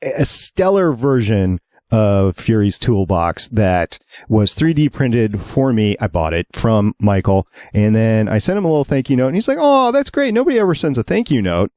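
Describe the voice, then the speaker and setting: A man's voice: on the low side, very animated, normal speed, a little mellow, no echo, clean studio sound. A man hosting a casual fan podcast in a chatty, enthusiastic way. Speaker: podcast host.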